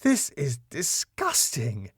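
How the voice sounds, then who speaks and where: A man's voice: mid-range voice, wildly swooping pitch, slow, a bit bright, breathy edge, dry recording, clean studio sound, pitched above the performer's own voice, full character voice. A man voicing a stern female council inspector in a sitcom, formal, clipped and pompous. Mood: disgusted. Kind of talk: sitcom script read aloud.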